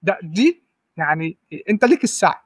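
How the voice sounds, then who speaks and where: Fast-talking young Egyptian man, high voice. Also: very animated, no room sound, studio quality. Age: 20s. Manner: rapid, repeats and restarts words, asks "right?" often. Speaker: guest data analyst. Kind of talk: casual podcast interview, giving advice.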